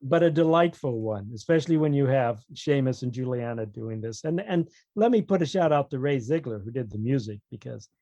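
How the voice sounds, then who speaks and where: A man's voice: mid-range voice, expressive, brisk, hardly any pauses, mellow, dry recording, dull audio. A man talking casually as an author guest on a podcast, explaining his opinions with wry humour.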